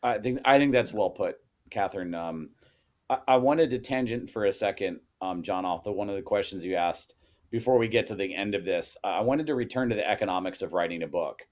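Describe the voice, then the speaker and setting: A man's voice: lowish voice, a little fast. A man, a co-author on a podcast, chatting casually and working out numbers aloud as he goes. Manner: rambling, works sums out loud.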